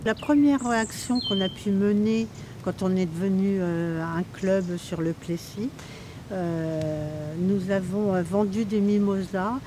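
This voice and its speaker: A woman's voice: medium pitch, fairly even intonation, slow pace. A woman from a service club explaining its work in an interview outdoors. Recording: noisy outdoor ambience with birds.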